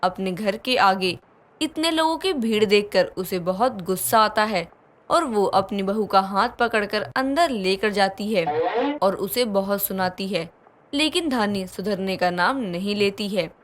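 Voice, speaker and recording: A woman's voice: mid-range voice, a little hiss or hum. A woman reading scripted moral-story narration as the storyteller. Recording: music behind the voice.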